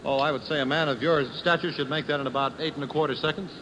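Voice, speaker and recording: An American man announcing a live 1950s radio broadcast in a polished, formal, gently joking way. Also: on the high side, steady tone, quick pace. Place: ballroom broadcast. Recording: dull, noisy vintage radio recording.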